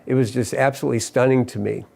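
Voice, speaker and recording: A middle-aged man with a voice of everyday pitch. He delivers a civic statement in an earnest, measured way, halting briefly and restarting his phrases as he goes. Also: fairly even intonation, creaky, warm tone. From Ohio, USA. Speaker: mayor. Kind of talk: prepared civic statement to camera.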